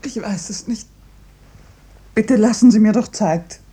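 An actress in a 1950s radio drama playing a suffering, bravely resigned dying patient, with a breathy, often half-whispered voice. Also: female voice, mid-range voice, a little slow, mellow. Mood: anguished, pleading.

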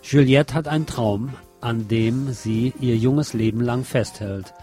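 Guy doing a film review on radio, chatty and opinionated, medium pitch, music behind him. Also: a little slow, mellow. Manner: personal, opinionated, conversational.

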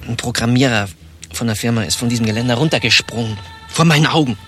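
An actor playing a shaken, guarded office worker in a German radio play, speaking tersely and uneasily. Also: male voice, mid-range voice, fast talker, a bit bright, faint music behind, lightly acted. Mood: uneasy, shaken.

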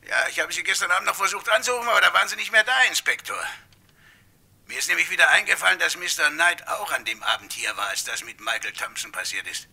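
Barkeeper witness, male, radio crime play. Raspy, swooping higher voice, hesitant and chatty. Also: animated, fast, sharp tone, full character voice. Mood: chatty, confiding. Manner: hesitant, rambling, eager to inform. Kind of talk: German radio crime drama acting.

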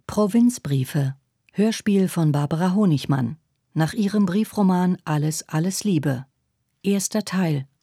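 Woman announcer reading radio play credits, slow n formal, soft dark tone. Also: lowish voice, lively intonation, breathy edge, no room sound, studio quality.

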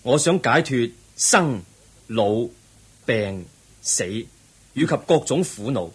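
A man acting in a Cantonese radio drama as a young prince seeking truth, earnest and measured, with a Hong Kong accent. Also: mid-range voice, lively intonation, slightly rough, exaggerated voice. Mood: solemn, resolute. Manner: measured, earnest, declamatory, preaching.

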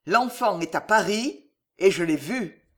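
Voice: mid-range voice, lively intonation, a little slow, a bit bright. A reader voicing a frightened, devoted court astrologer in an audiobook, grave and hesitant. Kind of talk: audiobook character reading.

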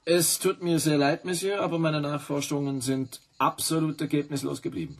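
An actor playing a stern, suspicious hotel manager, formal and pointed, in a radio play. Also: male voice, on the high side, normal speed. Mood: cool courtesy.

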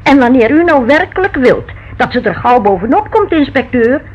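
Fussy, animated landlady. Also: sits high, moderate pace. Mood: protective, insistent. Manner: fussing, insistent. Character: fussy, protective, stubborn married landlady. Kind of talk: Dutch radio play acting. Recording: dull old radio recording with noise.

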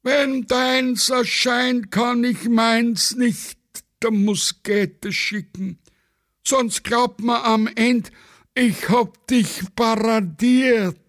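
Man putting on a high, plaintive Viennese poet. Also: steady tone, fairly bright tone, dry recording, studio quality, full character voice. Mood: plaintive, self-important. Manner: slow, drawn-out verse reciting. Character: plaintive, vain Viennese amateur poet, male.

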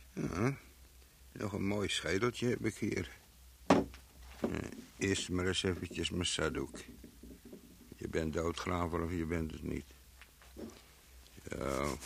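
An actor playing an earthy gravedigger in a radio play, muttering and musing to himself about the dead. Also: male voice, low voice, vocal fry, fairly bright tone, a little hiss or hum, exaggerated voice. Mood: musing, matter-of-fact.

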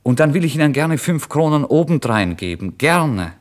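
A man's voice: a little high, big pitch swings, moderate pace, pitched above the performer's own voice. A man voicing a penniless, nervous writer in a literary story, agitated and ingratiating. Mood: anxious pleading. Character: penniless starving writer, nervous, pleading, self-mocking.

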